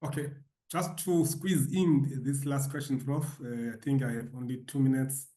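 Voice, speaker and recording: Mellow-voiced moderator, echoey distant audio. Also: male voice, medium pitch, fairly even intonation, normal speed. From South Africa. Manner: polite, reads out audience questions. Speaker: session moderator. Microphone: video call.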